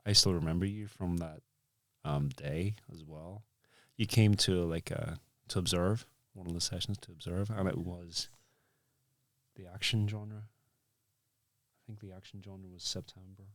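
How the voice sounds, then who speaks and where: A man hosting a relaxed conversational interview, thinking aloud in a halting way and circling back over his sentences. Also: sits low, no room sound. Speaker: interview host, filmmaker.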